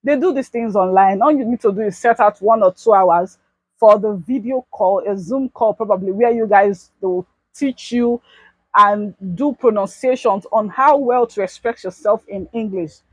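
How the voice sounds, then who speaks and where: A woman's voice: mid-range voice, normal speed, dry recording, rough recording. A young Nigerian woman talking casually to her viewers in an advice vlog. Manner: chatty, addresses viewers as bestie. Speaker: YouTube vlogger.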